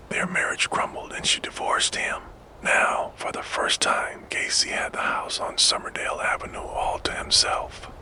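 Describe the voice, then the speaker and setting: Whispering man narrating softly. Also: poor audio. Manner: unvoiced whisper, slow and deliberate. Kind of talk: ASMR whispered true crime narration.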